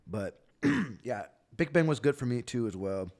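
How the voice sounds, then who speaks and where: A man's voice: mid-range voice, big pitch swings, unhurried, a little mellow. A man, hosting a casual podcast chat in a laid-back way, swearing freely and tagging his thoughts with "right?". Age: 30s. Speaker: podcast host.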